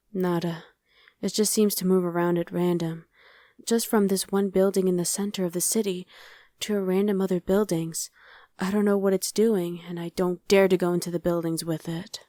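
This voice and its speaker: A woman's voice: mid-range voice, warm tone, dry recording, lightly acted. A woman playing a friendly, streetwise young survivor of a demon invasion in an ASMR roleplay, talking casually and matter-of-factly to the listener with some hesitation. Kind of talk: ASMR roleplay, conversational acting addressed to the listener.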